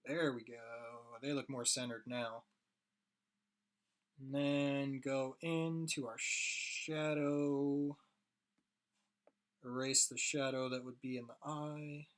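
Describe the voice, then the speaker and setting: A hoarse young man rambling casually to his viewers while he draws on a live stream. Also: mid-range voice, flat delivery, slow pace, pauses often, fairly bright tone. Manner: rambling, chatty, talks to chat viewers. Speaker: streaming artist.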